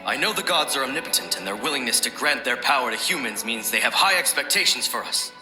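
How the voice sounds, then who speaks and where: A man's voice: mid-range voice, expressive, fast talker, pushed and bright. A man playing a loyal, brave samurai soldier in a video game, speaking formally and earnestly. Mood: resolute, proud. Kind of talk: video game dialogue acting. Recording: roomy echo, game music behind.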